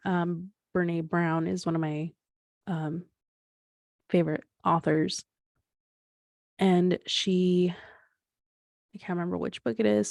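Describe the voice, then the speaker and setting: A woman's voice: on the low side, creaky, darkish tone, no room sound, clean studio sound. A woman in her thirties hosting a solo podcast, talking things through in a rambling, reflective way with frequent restarts and fillers. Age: 30s. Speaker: podcast host.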